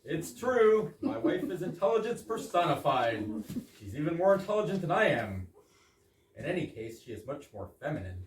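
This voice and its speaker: Pompous proud husband played by a guy, high lively voice, stage comedy, far off in an echoey room. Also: normal speed. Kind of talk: amateur stage readers' theater. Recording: echoey room, far mic, faint noise.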